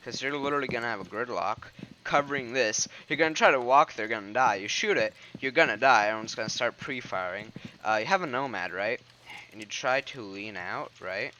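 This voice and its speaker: A man's voice: mid-range voice, a little fast, sharp tone, a little hiss or hum, rough recording. A young man giving casual, rambling commentary over gameplay, sounding a bit congested. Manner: rambling, filler-heavy, pointing at footage. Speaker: gaming commentator. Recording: game sounds and gunfire behind.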